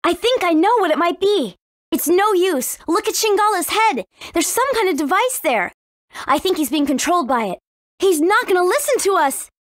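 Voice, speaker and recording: A woman with an American accent playing a spirited, earnest teenage warrior princess in an English video game, with expressive, emphatic delivery. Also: extremely high pitch, moderate pace, no echo, clean studio sound. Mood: thoughtful, explaining.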